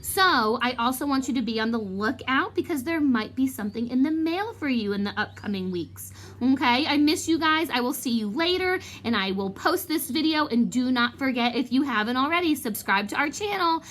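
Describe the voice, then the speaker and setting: Animated woman teacher with a highish voice. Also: normal speed, few pauses. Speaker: fourth grade teacher. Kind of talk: expressive read-aloud narration and casual address to pupils.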